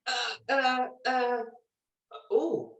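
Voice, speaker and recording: A middle-aged woman from Britain, a stage actress telling lively, self-mocking stories in an interview and laughing often. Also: fairly high pitch, drawn-out words. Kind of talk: relaxed anecdotal interview chat. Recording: roomy echo.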